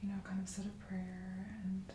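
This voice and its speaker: A woman's voice: mid-range voice, completely flat delivery, a little hiss or hum. Young woman whispering in an echoey room. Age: young adult. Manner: soft whispering, unhurried and reflective. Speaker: guest. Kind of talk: ASMR whispered chat.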